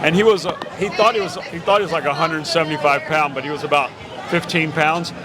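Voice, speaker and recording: A man's voice: a little high, fast, a crowd in the background. A man hosting a casual podcast chat outdoors, talking in a rambling way with restarts and frequent laughs. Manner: rambling, stammering, laughs often. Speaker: podcast host. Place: outdoors on a busy street. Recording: street noise behind.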